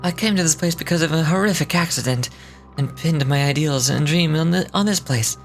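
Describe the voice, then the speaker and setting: A woman plays a courteous game dreamweaver, a romantic former sculptor with a lowered, man-like voice. He speaks in florid, formal, poetic phrases as he reads out his lines. Music plays clearly behind the voice. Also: fairly quick. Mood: earnest, idealistic. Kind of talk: reading game dialogue aloud.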